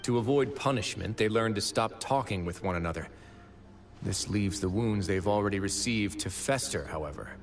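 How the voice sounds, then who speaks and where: A man playing a composed, stern and protective prison warden in an anime game, speaking in a measured, deliberate way. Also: low voice.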